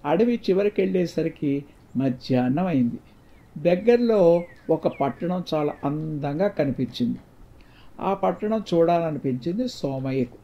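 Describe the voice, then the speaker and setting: Mellow man narrating, slightly high voice. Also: animated, a little slow. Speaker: storyteller. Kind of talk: scripted moral-tale narration. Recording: dull, muffled lo-fi sound.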